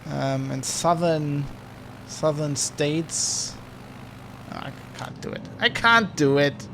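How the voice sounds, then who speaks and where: A man's voice: on the high side, lively intonation, slow pace, vocal fry, fairly bright tone, a little hiss or hum. A man giving rambling, casual commentary while streaming a flight sim. He restarts and corrects himself often. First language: German.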